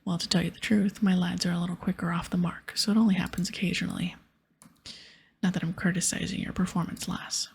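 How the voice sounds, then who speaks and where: A woman voicing a bossy, sarcastic male pirate captain from a game in a breathy whisper. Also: on the low side, little pitch movement, quick pace, exaggerated voice. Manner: brusque, sarcastic, teasing. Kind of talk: whispered game dialogue reading.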